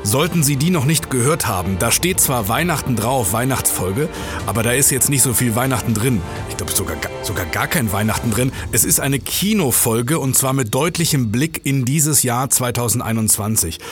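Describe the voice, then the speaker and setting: A man hosting an interview podcast, presenting lively and asking playful, teasing questions. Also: medium pitch, normal speed, music in the background. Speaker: podcast host.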